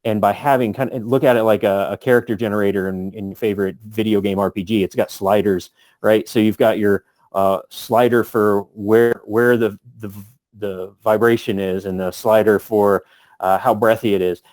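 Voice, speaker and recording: A man's voice: fairly low pitch, steady tone, normal speed, darkish tone, no room sound. A man giving a casual instructional talk as a tutor, thinking aloud as he explains. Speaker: voice tutor for tabletop gamers.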